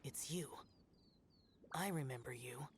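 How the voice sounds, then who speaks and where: A woman's voice: sits low, a little slow, slightly rough. A woman voicing a stoic, brooding male immortal warrior in a video game, speaking in solemn, terse, formal phrases. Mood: grave, formal.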